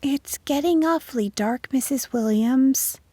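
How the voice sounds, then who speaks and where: An eager, outspoken schoolchild from a storybook, acted out by a woman narrator. The voice is lifted high and exclaims in a childlike way. The recording is very dry. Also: unhurried, warm tone, no room sound.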